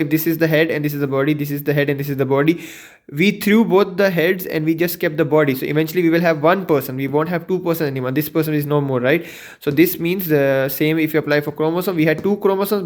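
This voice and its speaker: A man lecturing in an explanatory science lesson, didactic, posing questions and then answering them himself. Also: a little high. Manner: didactic, asks and answers his own questions.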